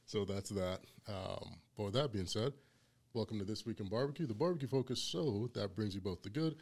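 A man with a deep baritone voice hosting a casual barbecue podcast, joking and laughing through the banter. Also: brisk, gravelly, darkish tone. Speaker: podcast host.

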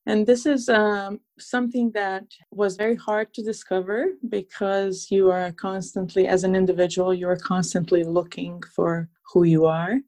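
A woman from Transylvania, Romania, speaking earnestly and in measured, articulate phrases as a podcast guest, reflecting in an interview. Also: medium pitch, flat delivery, a little mellow.

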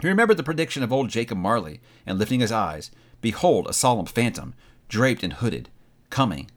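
A man narrating an audiobook in a dramatic, expressive and deliberate storytelling style. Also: mid-range voice.

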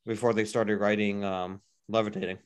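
A man's voice: on the low side, little pitch movement, moderate pace, smooth. A man co-hosting a casual podcast chat, rambling with lots of fillers and restarts. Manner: rambling, filler-heavy, repeats himself. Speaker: podcast co-host.

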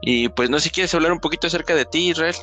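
A man hosting a casual podcast conversation, talking in a halting way with many restarts and fillers. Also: a little high, bright, forceful tone, faint music behind. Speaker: podcast host.

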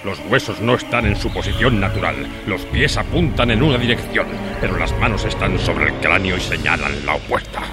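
A man's voice: low-pitched, animated, fairly quick, few pauses. A man playing a menacing, shrewd pirate leader in a radio drama, commanding and sarcastic in Spain Spanish. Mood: sardonic. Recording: loud music behind the voice.

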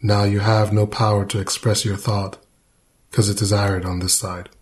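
A man's voice: fairly low pitch, level tone, moderate pace. A man reading a narrative poem aloud. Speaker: poetry reader. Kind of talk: poetry reading.

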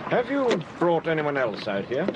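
An actor playing a calm, questioning detective in a period radio drama. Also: male voice, a little high, moderate pace, dull audio, noisy background. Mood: probing. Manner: crisp, questioning, composed. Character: calm, probing amateur detective, adult man. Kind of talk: 1940s radio acting.

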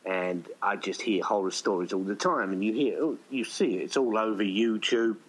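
Male interview guest, lower register, conversational and rambling, drops into quoted asides. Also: normal speed, poor audio. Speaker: indie filmmaker, podcast guest.

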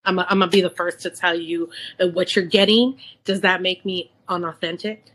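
A woman's voice: on the low side, steady tone, brisk, fairly bright tone, low-quality recording. A middle-aged woman in casual conversation, candid and emphatic, repeating words for emphasis and sometimes mixing in Spanish. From San Francisco, United States. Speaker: podcast co-host.

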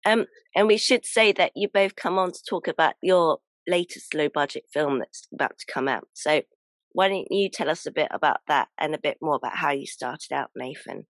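A woman with a croaky voice from a sore throat, hosting a casual podcast interview, laughing often and apologising for her voice. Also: low voice, expressive, moderate pace, dry recording. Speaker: podcast host.

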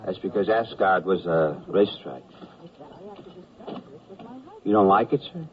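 A dutiful army corporal, low-voiced and matter-of-fact. He is deferential and a touch hesitant, as in radio comedy. The old broadcast sounds a little muffled. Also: unhurried. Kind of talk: 1970s radio comedy acting.

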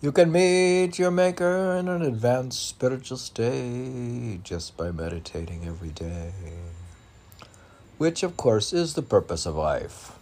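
A man reading his own spiritual poems aloud in a halting way, with phrases that rise emphatically. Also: mid-range voice, expressive, darkish tone. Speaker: poet reading own work. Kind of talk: recited spiritual poetry reading.

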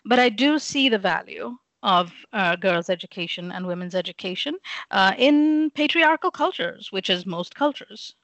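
A woman's voice: medium pitch, expressive, moderate pace, fairly bright tone, dry recording, lo-fi sound. A middle-aged woman from Pakistan, a guest in an interview, speaking thoughtfully with many fillers and restarts and often ending her points with "right?". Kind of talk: reflective conversational interview.

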